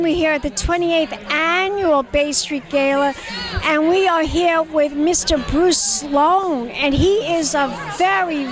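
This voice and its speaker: A flamboyant woman host with a Brooklyn accent and a high, bright voice. She runs red-carpet interviews outdoors at an evening gala, gushing and calling everyone darling.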